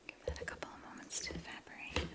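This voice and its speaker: Whispering woman in gentle ASMR roleplay. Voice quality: soft, breathy whisper. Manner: gentle, reassuring, unhurried step-by-step explaining. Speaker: ASMR performer playing a clinic technician.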